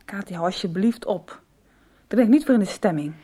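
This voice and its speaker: A woman's voice: medium pitch, expressive, brisk, warm tone, breathy edge. A woman acting an outspoken, defiant schoolgirl in a radio soap, feisty and argumentative. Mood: sulky. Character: outspoken, defiant schoolgirl daughter, quick to answer back.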